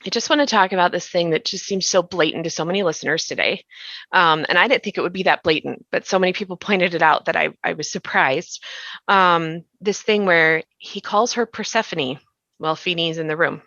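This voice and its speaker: A woman with a low, gravelly voice speaks as a podcast host in a conversational, thoughtful manner. She often lets a thought trail off before picking it up again. The sound is close, dry and a little dull. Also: brisk, pushed and bright, no room sound. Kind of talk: conversational behind-the-scenes discussion.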